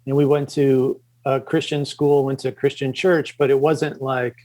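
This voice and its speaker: A middle-aged man from the Chicago suburbs, a podcast guest, talking casually and haltingly with many fillers and restarts. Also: medium pitch, level tone, warm tone. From Chicago suburbs, Illinois. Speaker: podcast guest, comics creator. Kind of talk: casual interview talk.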